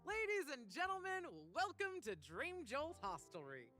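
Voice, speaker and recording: A showy bar hostess for a video game. She is suave and playful, with polished, theatrical patter that swings widely in pitch. Music plays underneath. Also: sing-song, measured pace, pushed and bright, full character voice. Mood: welcoming, showy. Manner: theatrical, teasing, polished hospitality. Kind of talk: video game character voice acting.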